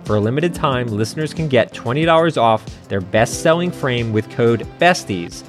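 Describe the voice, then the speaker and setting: A man's voice: mid-range voice, lively intonation, normal speed, music in the background. A man hosting a podcast in a casual, conversational way. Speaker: podcast host. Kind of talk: casual podcast chat and ad read.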